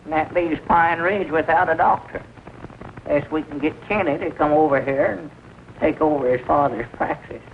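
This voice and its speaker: An actor playing a meek, rambling old storekeeper with a rural Southern accent in a 1940s film comedy. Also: male voice, on the high side, moderate pace, natural voice. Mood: meek, plain. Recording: scratchy, narrow-band 1940 film soundtrack.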